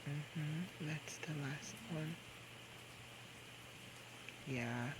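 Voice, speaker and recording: A man playing a drunk, clingy, playful young boyfriend in an intimate ASMR roleplay, with slurred, coaxing, halting speech. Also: fairly high pitch. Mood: pleading, whiny. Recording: noisy homemade microphone recording.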